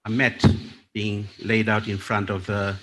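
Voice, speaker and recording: A man from Fiji facilitating a panel discussion at a lectern, speaking in a measured, deliberate way with small restarts. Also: fairly low pitch, little pitch movement, bright, forceful tone. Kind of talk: formal event moderation. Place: conference hall. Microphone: lectern microphone.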